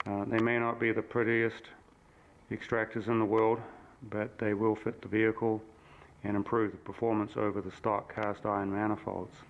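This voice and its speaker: A man's voice: on the low side, little pitch movement, normal speed, dull audio. A man with a mixed New Zealand and American accent, an engine builder talking plainly in a shop vlog, with many ums and uhs. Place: office. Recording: echoey room, noisy camera sound with breakup.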